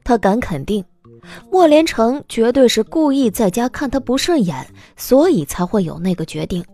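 A woman narrating an audiobook drama in an expressive, scripted storytelling style. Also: on the high side, normal speed, soft background music.

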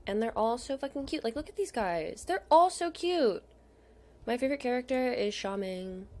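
A woman's voice: on the high side, expressive, a little fast, a little mellow. A young adult woman chatting casually over screen browsing as a video host, gushing and slangy, sometimes dropping to a murmur. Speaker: video host, K-pop photocard collector. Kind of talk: casual rambling screen-share commentary.

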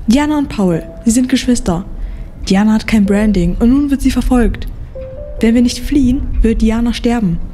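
A woman's voice: on the high side, normal speed, warm tone, soft background music, lightly acted. A woman playing a determined, trusting young woman in an audio drama. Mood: urgent. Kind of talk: amateur radio play acting.

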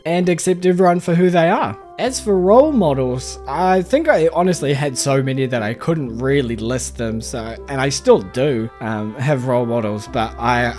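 A man's voice: mid-range voice, darkish tone. A young man with a New Zealand accent, reading a friendly, chatty voice-over that answers viewer questions. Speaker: game video creator. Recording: faint background music under voice-over.

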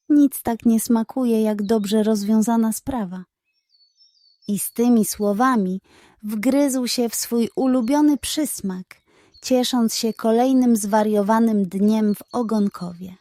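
A woman's voice: fairly high pitch, mellow, no room sound. A woman storyteller reading a scripted children's bedtime story.

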